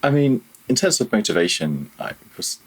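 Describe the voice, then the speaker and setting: A man's voice: fairly low pitch, big pitch swings, moderate pace, slightly rough. A man talking casually as an interview guest, reflective and self-deprecating about himself. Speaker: podcast guest, freelance audio programmer.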